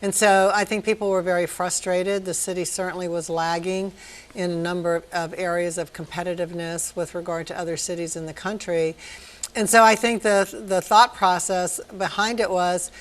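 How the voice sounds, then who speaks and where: A woman from Northern Virginia working as a city manager, giving articulate, measured answers in a TV interview with occasional ums. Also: lowish voice. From Northern Virginia, USA. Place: TV studio.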